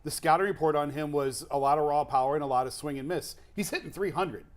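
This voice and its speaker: A man's voice: on the high side, fast talker. An American man hosting a sports show, asking interview questions in a conversational style.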